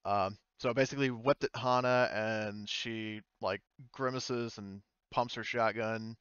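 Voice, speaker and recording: A man's voice: lowish voice, fairly even intonation, unhurried, fairly bright tone, clean tone, dry recording, lo-fi sound. A man running an online tabletop game as game master, talking casually and hesitantly as he thinks aloud.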